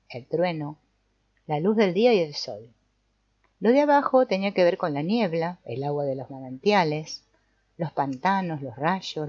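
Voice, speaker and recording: A woman narrating an audiobook in a steady, measured reading. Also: on the low side, no room sound. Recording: dull lo-fi dry recording.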